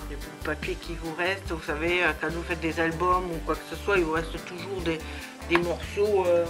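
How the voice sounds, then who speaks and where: A woman's voice: fairly low pitch, flat delivery, moderate pace, over music. A woman with a cold hosting a casual crafting tutorial at home, chatty and full of hesitations. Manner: chatty, many hesitations and fillers. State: has a head cold.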